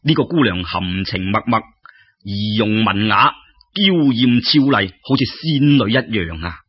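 A man telling folk tales as an expressive storyteller, in a conversational way that addresses listeners directly. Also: on the low side, a little slow, breathy edge, muffled recording.

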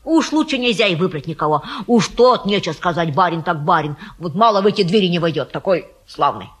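An actress playing a garrulous, folksy old Russian matchmaker in a stage comedy, coaxing and boasting theatrically. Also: female voice, fairly low pitch, expressive, fairly quick, breathy edge. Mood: effusive selling. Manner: folksy, garrulous, effusive, sing-song coaxing. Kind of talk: theatrical stage-comedy acting of a classic play.

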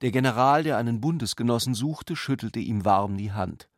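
A man's voice: medium pitch, normal speed, dry recording, clean studio sound. A man narrating a literary radio drama.

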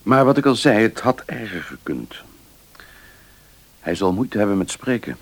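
An actor playing a grave, authoritative family doctor, formal and measured, in a Dutch radio play. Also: male voice, fairly low pitch, big pitch swings, no room sound.

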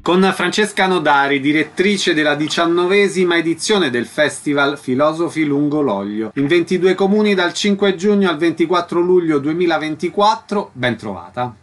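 Higher-pitched male radio host, concise. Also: expressive, moderate pace, few pauses, faint music behind. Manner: concise, announcer-like. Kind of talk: scripted radio presenting, short clipped questions.

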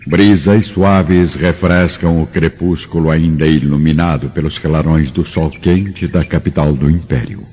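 A man's voice: rumbling low, steady tone, measured pace, hardly any pauses, dark tone, slightly rough. A man with a Brazilian accent narrating a scripted radio drama in a solemn, literary storytelling manner. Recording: dull radio recording with long reverb, music behind.

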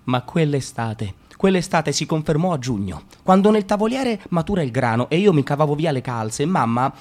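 A man narrating a literary radio drama in Italian, expressive and swinging from hushed to loud. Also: fairly high pitch, moderate pace.

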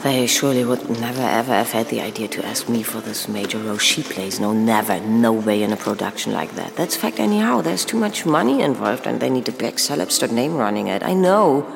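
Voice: medium pitch, expressive, a little fast, vocal fry, few pauses, noisy background. A performer playing an anxious, searching actor in an insistent, questioning spoken-word monologue, full of repetition. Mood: agitated, searching. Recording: long reverberant hall.

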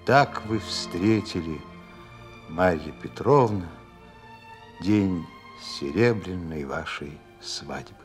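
A man's voice: deep voice, lively intonation, slow pace, faint music behind. An actor playing a solemn man speaking in a radio drama. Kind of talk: Russian radio drama acting.

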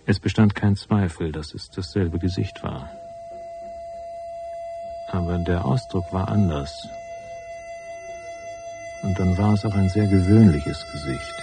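An actor playing a tough, cynical private detective in a noir radio drama, speaking in a laconic, unsentimental manner. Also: male voice, low-pitched, a little slow, pauses often, music in the background. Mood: brooding, matter-of-fact. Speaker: narrator and lead character.

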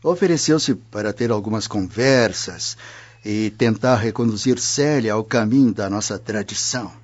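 A man playing a worried, dignified old Roman grandfather in a radio drama, with a husky voice. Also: lowish voice, moderate pace, a little mellow, close to an everyday voice. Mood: worried, earnest. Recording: dull lo-fi audio.

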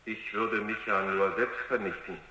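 An actor playing an obedient, logical robot in a German radio drama, speaking in a deliberate, formal and deferential way. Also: male voice, fairly low pitch, steady tone, bright, forceful tone. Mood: calm, obedient. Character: obedient, logical male robot answering its examiner. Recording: echoey, reverberant radio drama recording.

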